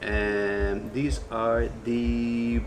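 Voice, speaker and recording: A man's voice: mid-range voice, level tone, extremely slow, slight background noise. A man from England with a mixed English and Maltese accent, chatting casually about food in a pub. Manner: chatty, laughs often, restarts sentences. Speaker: food vlogger. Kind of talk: casual chat. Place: pub dining area. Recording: music playing in the background.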